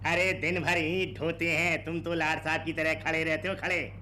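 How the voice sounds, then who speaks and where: An actor performs a disgruntled building-site worker in a radio drama. His voice is bright and fairly high with a flat line, grumbling colloquially over faint background noise. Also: moderate pace, natural voice. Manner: colloquial, complaining. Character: disgruntled male construction labourer grumbling at his boss.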